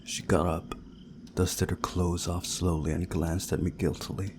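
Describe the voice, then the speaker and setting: A man reading a visual novel story aloud in a measured, dramatic storytelling way, hushed at times. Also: low-pitched, little pitch movement, noisy background. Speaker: video game playthrough narrator.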